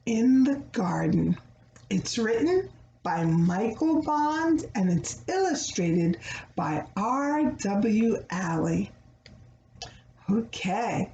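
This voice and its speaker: A woman reading a children's story aloud in a teacherly way, pausing to check in with her young listeners. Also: a little high, darkish tone, muffled recording. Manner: addresses young listeners with questions and pauses. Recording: roomy echo, faint background noise.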